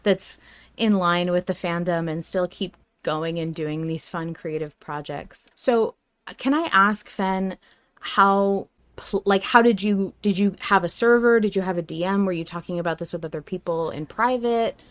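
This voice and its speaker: Woman, slightly low voice, podcast host, casual chat, informal, enthusiastic delivery. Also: moderate pace, smooth, dry recording.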